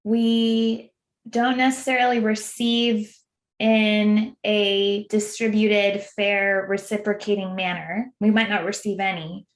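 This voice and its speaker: A woman hosting a podcast in a measured, affirming way, summing up her guest's ideas and asking follow-up questions. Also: mid-range voice, level tone. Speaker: podcast host. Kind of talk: casual podcast conversation.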